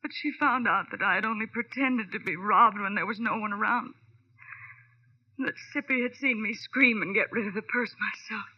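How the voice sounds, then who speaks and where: An actress playing a young nightclub performer confessing to murder with melodramatic feeling in a 1940s radio drama. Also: female voice, medium pitch, normal speed, husky, natural voice. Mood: confessing, subdued. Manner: emotional, melodramatic. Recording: dull lo-fi old radio recording.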